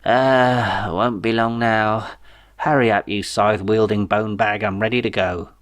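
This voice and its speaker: A man voicing an ancient, feeble, dying evil dictator, slow and scornful, in a weak whispery voice broken by coughs. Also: fairly low pitch, steady tone, no echo, lightly acted. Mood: weary scorn.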